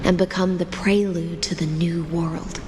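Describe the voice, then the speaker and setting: A woman's voice: on the low side, level tone, unhurried, noisy background, lightly acted. A woman playing a graceful, weary warrior-singer in a video game, speaking in a stately, poetic manner. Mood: solemn, resolute. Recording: long reverb under game music.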